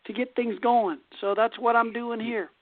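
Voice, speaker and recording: Casting: female call-in radio host, higher-pitched voice, casual delivery, narrow phone-line audio. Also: steady tone, moderate pace.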